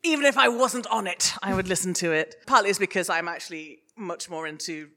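A British woman with a bright voice talks as a guest in a live interview. Her delivery is informal and chatty. She is self-deprecating and quick to giggle. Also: on the low side. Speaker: podcast guest, podcaster and sensitivity reader. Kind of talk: live on-stage interview, casual chat.

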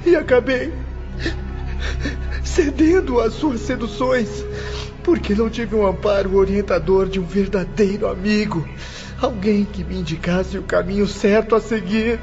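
A man's voice: high voice, measured pace, highs cut off, over music. A man playing a bitter young orphaned prisoner in a melodramatic radio play, lamenting tearfully. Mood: bitter regret. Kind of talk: melodramatic radio drama monologue.

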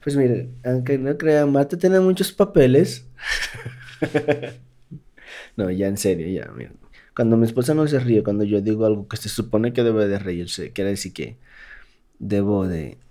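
A man from the Dominican Republic with a softened Dominican Spanish accent, co-hosting a casual podcast with his wife, joking and laughing often. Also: fairly low pitch. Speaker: podcast co-host. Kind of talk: casual couple chat.

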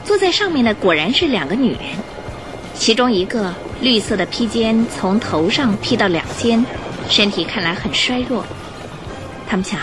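A woman narrating a radio drama in an expressive, dramatic storytelling style. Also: fairly high pitch, low-quality recording. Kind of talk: scripted Mandarin storytelling narration. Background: music.

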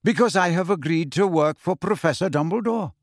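A man voicing a calm, aloof male centaur teacher in an audiobook, speaking in a measured, solemn, formal way. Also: high voice, dry recording, studio quality, voice pushed up. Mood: solemn, quiet. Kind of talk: acted audiobook dialogue.

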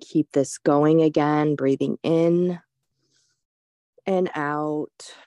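A woman leading a guided meditation in a soothing, unhurried, gently instructive way. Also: deep voice, fairly even intonation, dry recording, clean studio sound.

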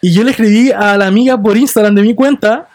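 High-voiced, fast-talking young Chilean man. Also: dry recording. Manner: animated storyteller, slangy and swearing. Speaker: podcast co-host. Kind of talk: casual chat.

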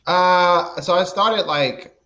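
A man's voice: high-pitched, takes it slowly, pushed and bright. Laid-back rambling man, lo-fi sound. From DMV area, US. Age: 30s. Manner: laid-back, rambling, many fillers and restarts. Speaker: guest comedian. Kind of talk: casual podcast chat.

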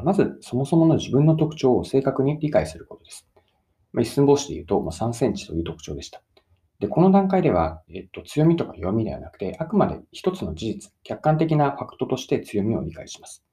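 抑揚豊かで、とても落ち着いた暗めの声の男性. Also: male voice, mid-range voice, very animated, fast, warm tone. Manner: methodical, stresses key words and recaps points. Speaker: presenter giving career self-development advice. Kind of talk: explanatory solo talk, addressing listeners directly.

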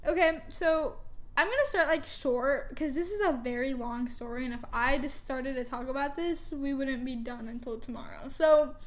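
High-voiced teenage girl chatting. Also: normal speed. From Canada. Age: teens. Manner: chatty, rambling, laughs often. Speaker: podcast host. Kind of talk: casual chat.

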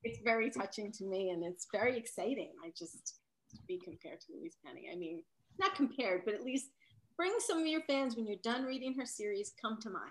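A woman's voice: a little high, expressive, a little fast, rough recording. A woman novelist whose first language is Italian, talking casually in an interview, often trailing off and rephrasing.

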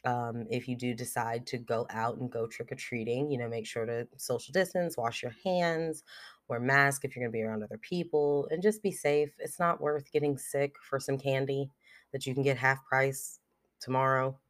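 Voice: mid-range voice, fairly even intonation, normal speed. Blunt podcaster with a cold. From United States. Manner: frank and blunt, swears freely, many fillers like "like" and "um". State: has a cold, sucking a cough drop. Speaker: podcast host. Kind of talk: casual solo podcast monologue.

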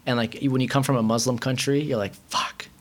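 Young man from Iran, loose rambling chat, lots of "like" and "um", mid-pitched. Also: steady tone, fairly quick. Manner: rambling, heavy use of filler words. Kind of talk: casual chat.

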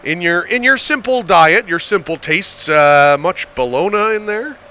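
A man hosting an interview, reading listener questions aloud and chiming in with quick, upbeat interjections. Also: sits high, animated, bright, forceful tone. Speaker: interview host.

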